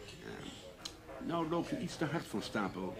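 A man's voice: mid-range voice, wildly swooping pitch, takes it slowly, creaky, a crowd in the background, full character voice. An actor playing a blunt, calculating businessman in a Dutch radio play, direct and coolly polite. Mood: firm, dismissive. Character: blunt, calculating businessman negotiating over staff.

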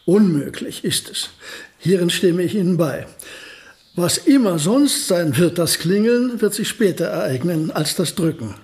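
An actor playing a famous elderly physicist in a radio drama, speaking in a measured, pedantic and earnest way. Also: male voice, high-pitched, lively intonation, darkish tone. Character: famous elderly male physicist, precise, proud, fussy.

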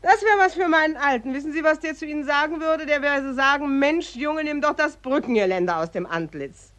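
High-voiced, callous gossipy housewife. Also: female voice, lively intonation, a little fast, a bit bright. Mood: flippant, callous. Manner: matter-of-fact, flippant about death. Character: callous, gossipy working-class housewife, blunt and chatty. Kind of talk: German radio drama acting.

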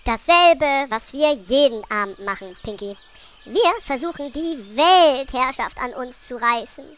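A woman's voice: high voice, expressive, a little slow, fairly bright tone. A woman playing a haughty, bossy queen bent on world domination in a parody audio drama. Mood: scheming.

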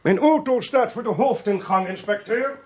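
An actor playing a brisk, self-assured forensic expert in a radio play, formal and commanding. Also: male voice, very high-pitched, animated, a little mellow, slight background noise.

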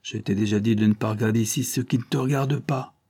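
Man playing a harsh, bullying foster father, husky. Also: lowish voice, steady tone, quick pace, warm tone, natural voice. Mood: gruff, dismissive. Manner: scolding, brusque commands.

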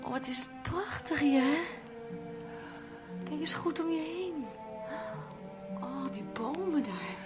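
A woman's voice: high voice, lively intonation, slow, long pauses. A woman playing a seductive, insidious tempter in a surreal radio play, speaking intimately and often in a whisper straight to the listener. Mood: tender, coaxing. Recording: loud music behind the voice. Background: music.

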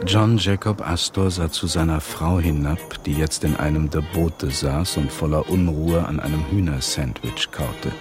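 Solemn male storyteller for radio drama, low register, standard German, measured, music underneath. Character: grave male narrator of a shipwreck tale. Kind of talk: scripted radio play narration.

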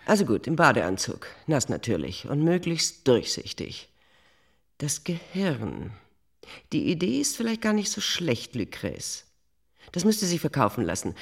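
Female editor-in-chief, imperious, dismissive, cynical, very animated intonation, slightly low pitch, radio drama. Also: husky, heavily acted. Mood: brusque. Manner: bossy, dismissive, sarcastic. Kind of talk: German radio drama acting.

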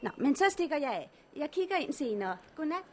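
An actress playing a chatty, kindly hospital nurse with a gently teasing manner in a 1960s radio drama. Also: female voice, high-pitched, very animated, slight background noise. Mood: chatty, soothing.

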